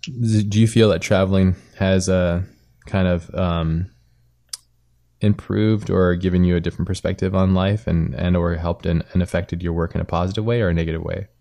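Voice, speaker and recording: A man's voice: sits low, steady tone, normal speed, gravelly, warm tone. A man hosting a casual interview, rambling a little as he searches for words. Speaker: podcast host and interviewer.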